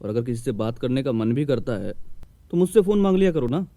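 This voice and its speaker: A man plays a brusque young husband from a cartoon moral tale. His tone is dark and soft, his manner hurried and dismissive, recorded dry and close. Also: medium pitch, animated, no echo, natural voice. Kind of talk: Hindi moral-story cartoon acting.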